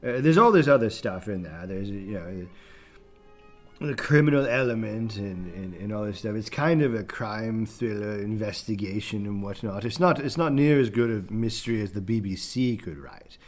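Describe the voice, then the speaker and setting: A man voicing a pompous, easily distracted British film critic in a halting, rambling comic monologue. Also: fairly low pitch, expressive, faint music behind, natural voice. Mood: rambling, indignant. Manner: halting, rambling, self-important. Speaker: podcast film reviewer.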